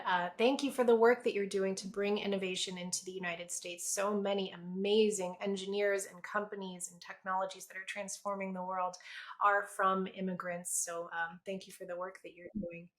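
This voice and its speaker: A woman's voice: medium pitch, flat delivery, normal speed. A woman hosting an interview show, upbeat and enthusiastic, opening with a scripted intro and then asking questions. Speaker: podcast host.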